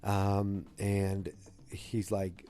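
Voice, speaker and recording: A man with a head cold hosts a solo podcast, his voice stuffy, dark and mellow, sitting a little low. He rambles loosely, restarting sentences and filling gaps with "uh" and "um". Faint music plays behind him. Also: completely flat delivery, drawn-out words, clean tone. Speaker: podcast host. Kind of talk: casual solo episode recap.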